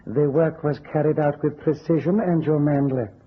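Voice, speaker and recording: Sinister, unruffled temple owner, high husky voice, formal radio-mystery delivery. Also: male voice, steady tone. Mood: smoothly polite. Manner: measured, formal, over-polite phrasing. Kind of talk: golden-age radio drama acting. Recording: muffled, husky old radio recording.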